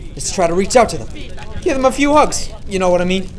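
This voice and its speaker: Man as an earnest, higher-pitched policeman narrator. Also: expressive, quick pace. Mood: polite, earnest. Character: earnest, over-polite Canadian policeman narrating his adventure. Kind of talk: scripted comic radio play narration.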